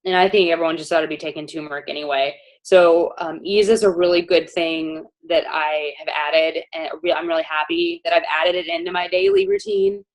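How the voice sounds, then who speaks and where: A woman's voice: low-pitched, expressive, brisk, hardly any pauses, rough recording. A woman casually presenting products to a panel, informal and chatty with many fillers.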